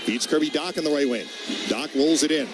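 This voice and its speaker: Male hockey play-by-play caller over steady noise. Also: a little high. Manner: clipped, rapid-fire phrases following the action. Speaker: play-by-play radio hockey announcer. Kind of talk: live sports commentary. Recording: noisy radio broadcast from an arena.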